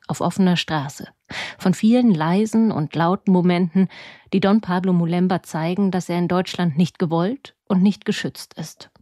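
A woman's voice: on the low side, mellow, no room sound, studio quality. A woman reading a scripted book review as a radio reporter. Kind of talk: scripted narration, literary review.

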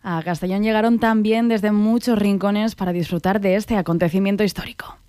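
A woman's voice: medium pitch, fairly even intonation, a little fast, darkish tone, clear, resonant tone, no room sound. A woman from narrating a scripted radio news report.

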